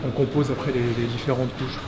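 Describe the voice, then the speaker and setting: A man giving a casual tour of an animation studio, chatty and quick to laugh, with lots of fillers and restarts. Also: mid-range voice, level tone, heavy background noise. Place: animation studio offices, indoors.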